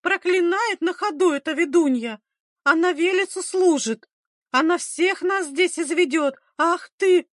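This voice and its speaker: A superstitious middle-aged village mother, put on by a woman storyteller. She is shrill, hysterical and accusing, crying out high above an everyday pitch in a dry audiobook recording. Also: measured pace, no room sound. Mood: accusing fury. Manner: shrill, shouting, wailing.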